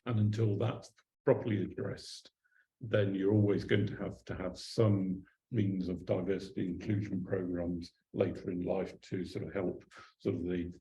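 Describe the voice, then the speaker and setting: A man's voice: low-pitched, mellow, roomy sound, a little hiss or hum, low-quality recording. A man answering as a panelist at a conference, wry and thoughtful, often hedging his points. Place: conference room.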